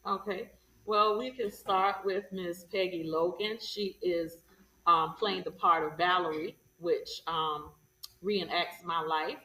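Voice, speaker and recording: A woman's voice: lowish voice, fairly even intonation, slow pace, pushed and bright. A woman giving informative answers as an interview guest. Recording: echoey room, far from the mic.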